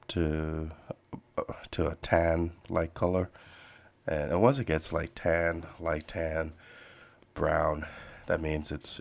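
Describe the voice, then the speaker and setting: A man's voice: a bass voice, vocal fry. A man from the Bahamas hosting a podcast, chatting casually and rambling while he draws. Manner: meandering, many fillers and restarts, laid-back. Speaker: podcast host and artist.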